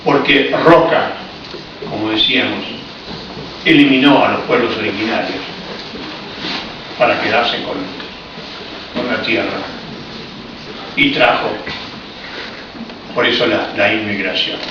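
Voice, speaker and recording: A man's voice: lowish voice, takes it slowly, long pauses, far from the mic. A man from Argentina with an Argentine Spanish accent, giving a history lecture in a reflective, explanatory way with ironic asides. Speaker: speaker giving a public talk. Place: cultural hall in Paris. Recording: echoey, muffled, noisy room recording from afar.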